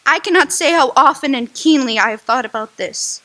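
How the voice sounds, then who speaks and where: A woman playing a young woman who ends her engagement, grave, slow and emotional in radio drama acting. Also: sits high, bright, forceful tone. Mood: sorrowful, resolute.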